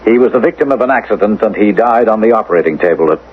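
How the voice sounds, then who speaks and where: A man's voice: fairly low pitch, lively intonation, rapid-fire, close to an everyday voice. An actor playing a determined, persuasive doctor and father in an old-time radio drama, scheming to save his son. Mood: grave. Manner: urgent, persuasive, reassuring. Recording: tinny, band-limited, hissy old radio recording.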